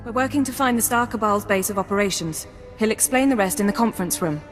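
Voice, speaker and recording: A woman's voice: medium pitch, fast talker, faint music behind, close to an everyday voice. Woman as a precise intelligence handler, reverberant. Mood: controlled, determined. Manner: businesslike, precise, controlled. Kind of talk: video game drama acting.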